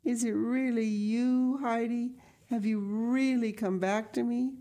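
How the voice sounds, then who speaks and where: A woman's voice: on the high side, fairly even intonation, slow, dark tone, voice pushed up. A frail, elderly storybook grandmother, acted out by a woman reader. Her voice sits well above the narration, full of tenderness and feeling. She exclaims as if overcome. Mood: tearful joy. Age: older. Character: frail old blind grandmother, tender, overjoyed and tearful.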